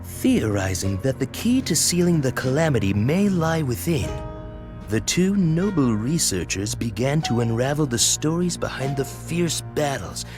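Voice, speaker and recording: A man's voice: medium pitch, sing-song, unhurried. A man narrating a fantasy game as a solemn, scripted storyteller. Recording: music behind the voice.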